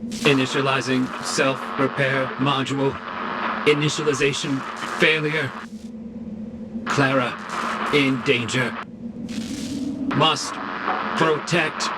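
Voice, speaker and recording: A damaged guardian robot acted by a man from a video game speaks slowly in halting, clipped phrases. It is single-minded and protective, at a middling pitch, under heavy background noise. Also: little pitch movement, close to an everyday voice. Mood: halting, urgent. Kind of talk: video game cutscene acting.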